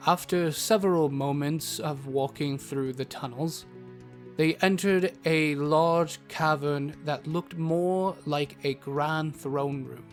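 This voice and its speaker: Slow storytelling man, higher-pitched voice. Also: steady tone. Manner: storytelling, formal wording. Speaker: narrator, in character as a travelling doctor. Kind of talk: scripted first-person fantasy audio drama narration. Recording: music bed under narration.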